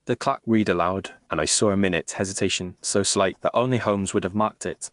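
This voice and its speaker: A man reading a Victorian detective story in a measured, formal, literary manner. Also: low voice, no room sound. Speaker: narrator.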